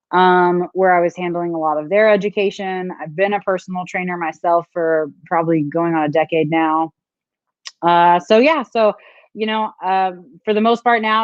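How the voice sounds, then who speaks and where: A woman's voice: on the low side, no echo, lo-fi sound. A woman, a strongwoman and nutrition coach, talking thoughtfully with many fillers on a video call. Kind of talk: casual video call interview.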